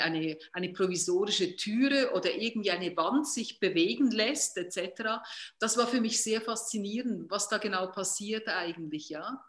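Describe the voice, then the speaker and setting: A Swiss-accented woman speaks as an artist being interviewed, in a medium-pitched voice. She thinks aloud, haltingly, circling back over her phrases as she searches for words. The room echoes clearly around her. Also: fairly bright tone. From Switzerland. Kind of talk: reflective interview talk. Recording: roomy, echoing sound.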